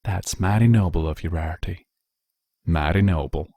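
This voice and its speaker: A man's voice: low voice, moderate pace, mellow, dry recording, studio quality. A man voicing a loyal, plain-spoken female farm pony in a storybook reading, earnest and folksy. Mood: quiet admiration.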